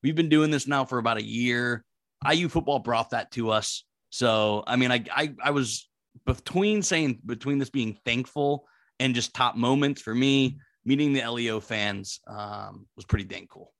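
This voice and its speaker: A man with a deep voice co-hosting a casual sports podcast, rambling and full of fillers. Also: normal speed, creaky, no echo. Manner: rambling, animated, lots of fillers. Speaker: podcast co-host.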